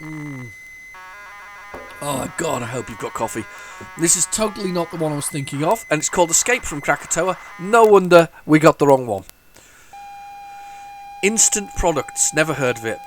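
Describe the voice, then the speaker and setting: A man's voice: a little high, moderate pace, faint music behind. Chatty man with a sniffly cold. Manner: rambling, chatty, lots of restarts and sudden excited outbursts. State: has a head cold, sniffly. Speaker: retro gaming video host. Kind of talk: casual commentary while loading old tapes.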